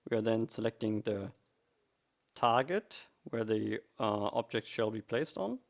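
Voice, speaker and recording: A man presents a screen tutorial in a medium-pitched voice. He explains each step methodically, as an instructor guiding someone through a piece of software. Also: vocal fry.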